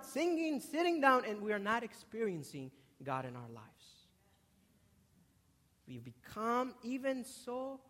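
A man in his twenties preaches in a very high-pitched voice, ringing through a hall with long reverb. His delivery is emphatic, repeating key words and moving between loud stresses and quieter asides. He often puts questions to the congregation. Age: 20s. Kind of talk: sermon with questions put to the congregation. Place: large indoor hall before a congregation.

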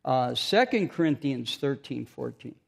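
A man's voice: medium pitch, lively intonation, a little slow, vocal fry. A man preaching an informal sermon, chatty and self-mocking, joking with the congregation between scripture readings.

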